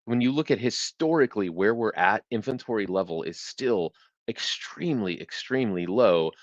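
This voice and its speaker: A man's voice: lowish voice, animated, normal speed, no echo, muffled recording. A man hosting a live real estate webinar, explaining data tools in a conversational way with frequent restarts.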